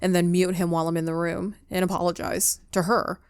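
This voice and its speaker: A woman giving casual gameplay commentary, thinking aloud in a halting, hesitant way. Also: on the low side, level tone, clear, resonant tone, dry recording. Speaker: game player.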